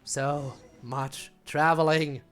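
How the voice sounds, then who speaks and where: A man's voice: a little high, steady tone, extremely slow, soft background music. A man giving casual playthrough commentary as a game streamer, musing aloud and reacting as he plays.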